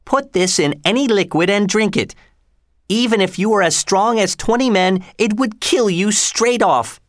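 A man's voice: high voice, moderate pace, dry recording, studio quality, lightly acted. A man reading aloud, voicing a poor apothecary who speaks cautiously. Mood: wary. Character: poor male apothecary, wary and secretive.

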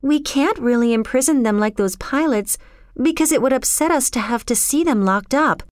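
A woman's voice: high-pitched, animated, moderate pace, dry recording, studio quality, voice pushed up. A woman storyteller voicing a spoiled rich young girl, emotional and blithely callous, in a dramatic, pleading manner. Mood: blithe, matter-of-fact.

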